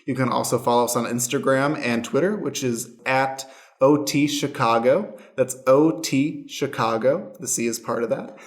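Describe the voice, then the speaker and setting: A man's voice: mid-range voice, moderate pace. Man hosting an improv audio show. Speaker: host and stage manager of an improv podcast. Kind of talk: friendly scripted-style show introduction and explanation.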